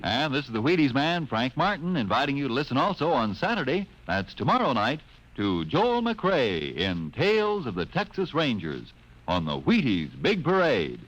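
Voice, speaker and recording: A man's voice: mid-range voice, huge pitch swings, moderate pace, fairly bright tone. A man reading a folksy, chummy commercial as a 1950 radio announcer. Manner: folksy, chummy salesman patter. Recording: dull lo-fi 1950 radio transcription.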